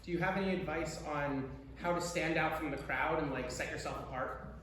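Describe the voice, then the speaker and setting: Male audience questioner, slightly high voice, casual, recorded from far away. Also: fairly quick, a bit bright. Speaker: audience member asking a question. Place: large indoor convention panel room. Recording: distant, very echoey hall, faint noise.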